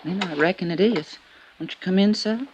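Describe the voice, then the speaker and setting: An actress playing a loyal, wary, deferential American housekeeper in a 1950s radio drama, folksy and hesitant. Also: female voice, on the low side, normal speed, a little mellow, a little hiss or hum, lightly acted, rough recording.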